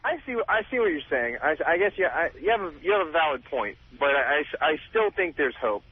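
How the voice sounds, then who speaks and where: A man's voice: on the high side, very animated, fast talker, breathy edge. A man phoning in to a talk show, chatting casually in a hesitant, earnest way. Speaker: caller to a radio show. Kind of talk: casual phone-in conversation. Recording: dull, lo-fi phone call on radio.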